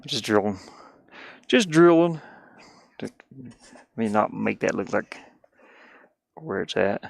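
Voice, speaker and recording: Low gravelly man muttering through a task. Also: expressive, slow pace, pauses often, no echo, studio quality. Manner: muttering, meandering, talking through each step to viewers. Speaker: live streamer doing a DIY build. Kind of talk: casual chat while working, thinking aloud.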